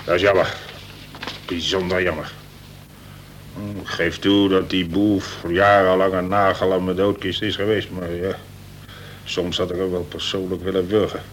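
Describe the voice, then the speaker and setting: Casting: male actor for older prison governor, dryly ironic yet sentimental colonel, lowish voice, noisy vintage radio-play recording. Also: little pitch movement, moderate pace, long pauses, natural voice. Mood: wistful. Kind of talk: Dutch radio play acting.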